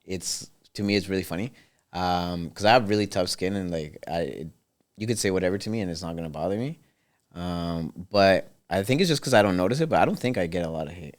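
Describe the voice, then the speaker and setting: A young man from Canada talking in an easygoing, unhurried way, filling his sentences with casual slang. His voice sits low and comes through smooth and clear. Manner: laid-back, easygoing, says "like" and "bro" a lot. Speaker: podcast guest, content creator. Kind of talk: casual interview chat.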